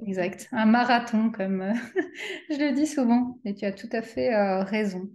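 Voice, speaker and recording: A woman's voice: a little high, unhurried, a little mellow. A middle-aged woman hosting a casual interview, speaking with many fillers and restarts. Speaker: interview host and coach.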